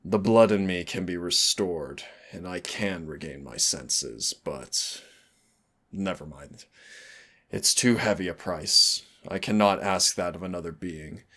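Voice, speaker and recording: Man acting as suave immortal demon, very low voice, slow measured delivery, darkly affectionate, brooding, intimate audio roleplay. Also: bright, forceful tone, full character voice. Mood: solemn, reflective. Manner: teasing endearments, measured, solemn dramatic delivery. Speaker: demon hunter's mentor and partner.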